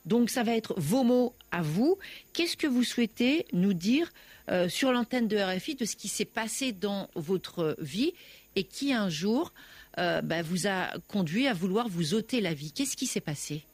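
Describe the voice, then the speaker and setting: A woman hosting a radio call-in program, asking gentle, measured and probing questions of a caller. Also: medium pitch, lively intonation, breathy edge, no room sound. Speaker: radio host.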